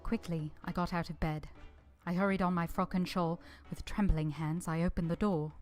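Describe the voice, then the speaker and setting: A woman playing a quiet, plain-spoken young governess in a vintage-style radio drama, earnest and measured, both in dialogue and first-person narration. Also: lowish voice, steady tone, soft background music, natural voice. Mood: alarmed, urgent.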